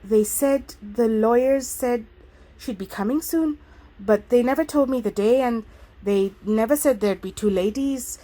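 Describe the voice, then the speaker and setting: A woman voicing a flustered countrywoman caretaker in her late thirties, with a soft, breathless English country accent. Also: lowish voice, big pitch swings, slightly rough. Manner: flustered, hurried.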